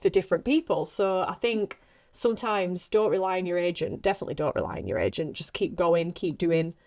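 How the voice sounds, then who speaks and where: A woman's voice: lowish voice, animated, brisk, darkish tone. Woman chatting earnestly, encouraging and emphatic. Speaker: actress guest. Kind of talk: casual podcast chat.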